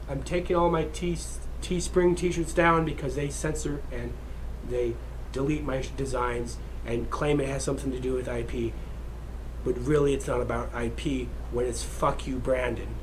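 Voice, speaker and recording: A man's voice: on the high side, fairly bright tone, slight background noise, low-quality recording. A man vlogging in a heated, profane, rambling rant to his viewers. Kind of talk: unscripted rant to camera.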